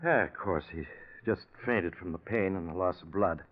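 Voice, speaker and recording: An actor playing a steady doctor who soothes and takes charge, in 1940s radio drama style. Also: male voice, deep voice, normal speed, slight background noise, lightly acted. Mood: soothing. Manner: soothing, authoritative. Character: steady, reassuring doctor friend of a nervous man. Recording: muffled vintage radio recording.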